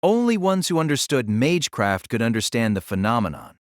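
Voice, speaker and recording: A man's voice: mid-range voice, big pitch swings, a little fast, no room sound, clean studio sound. A man narrating a fantasy story in a dramatic, theatrical, scripted style.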